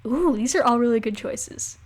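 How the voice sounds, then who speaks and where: A woman's voice: a little high, expressive, moderate pace. A young woman co-hosting a podcast in casual banter, with a giggly, wry and playful manner. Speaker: podcast co-host. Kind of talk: casual banter, reading quizzes aloud.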